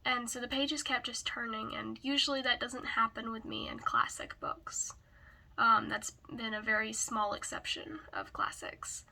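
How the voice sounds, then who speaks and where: A woman who is hoarse and raspy from a cold gives a casual book review, often hesitantly searching for words. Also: on the high side, lively intonation, normal speed, pushed and bright.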